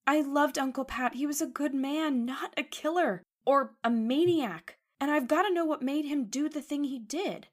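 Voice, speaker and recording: A woman's voice: high-pitched, normal speed, hardly any pauses, lightly acted. A woman playing an anxious, devoted young woman in an old-time radio horror drama, earnest and melodramatic. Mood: troubled, insistent.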